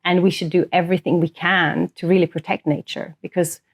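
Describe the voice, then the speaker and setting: A woman's voice: lowish voice, level tone, brisk, slightly rough. A woman in her thirties or early forties from northern Sweden, a native Swedish speaker, explaining things earnestly as an executive in a conversational interview. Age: 30s to early 40s. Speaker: corporate sustainability executive, interview guest.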